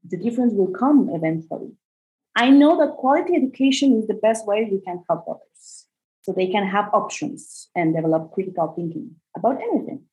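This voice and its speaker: Woman, from Costa Rica, interview guest, earnest, animated, middle-aged. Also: medium pitch, rough recording. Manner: earnest, animated, repeats "right?". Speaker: guest, bassoonist and arts educator. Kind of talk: conversational interview.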